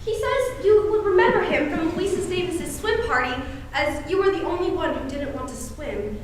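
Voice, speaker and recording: A woman acting a fussy aunt, very high-voiced. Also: lively intonation, normal speed. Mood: sugary, coaxing. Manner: theatrical, chatty, insistent. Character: fussy, nagging, genteel aunt and exasperated wife. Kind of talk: amateur stage play acting. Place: stage of a school performance space. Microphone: distant camera microphone. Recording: very echoey, distant stage recording with noise.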